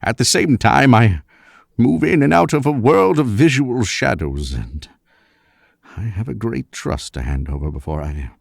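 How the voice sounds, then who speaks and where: A man's voice: medium pitch, expressive, measured pace, creaky, dry recording. An actor playing a courtly, absent-minded older professor and father in a vintage-style radio mystery, formal and musing. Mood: formal, cautious.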